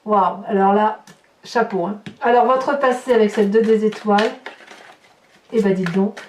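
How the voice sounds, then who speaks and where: A woman's voice: medium pitch, fairly even intonation, measured pace. A woman improvising a tarot reading for her viewers, breaking into exclamatory, astonished asides as she comments on the cards. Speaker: tarot reader and channel host. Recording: roomy echo, distant mic, background noise.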